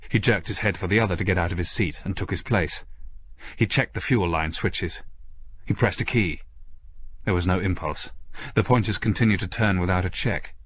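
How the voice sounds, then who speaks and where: A man's voice: sits low, normal speed, a bit bright, slight background noise. A man reading a scripted story aloud as a narrator in a dramatic audiobook style.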